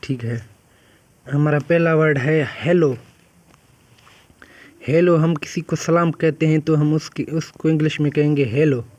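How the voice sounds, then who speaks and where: Slow, patient man teaching phrases. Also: fairly high pitch, fairly even intonation, a little mellow, no echo. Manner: repeats each phrase, drawing English words out very slowly. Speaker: English teacher. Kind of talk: spoken English practice lesson.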